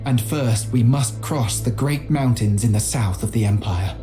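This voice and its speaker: Earnest medieval scholar played by a man, mid-pitched voice. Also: loud music behind the voice, natural voice. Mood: solemn, reflective. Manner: formal, measured, literary. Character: earnest, learned, timid medieval scholar and chronicler. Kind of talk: dramatic journal narration in a video game.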